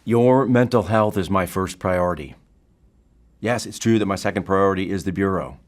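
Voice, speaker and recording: An actor playing a calm, probing bureau therapist in an audio drama, speaking in a formal, deliberate way. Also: male voice, sits low, close to an everyday voice. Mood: firm, measured.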